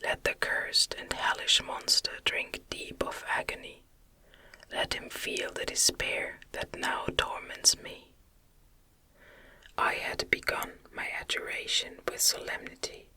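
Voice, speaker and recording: A woman reads classic gothic fiction entirely in a breathy whisper. Her delivery is gentle and soothing, very unhurried, with a sharp, bright hiss to the words. It is storytelling made for relaxation. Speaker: ASMR narrator reading an audiobook. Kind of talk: whispered ASMR reading of a gothic novel.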